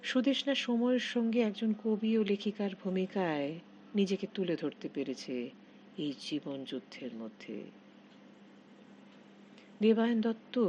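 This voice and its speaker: A middle-aged woman reading her own literary short story in an expressive, measured recitation. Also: deep voice, slight background noise. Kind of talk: scripted literary story narration.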